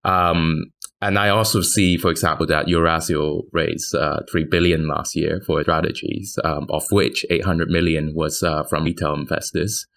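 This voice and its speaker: A man with a deep, low-set voice speaks as a financial journalist in a podcast discussion. His delivery is conversational and matter-of-fact, advancing a little haltingly. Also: steady tone, creaky, fairly bright tone.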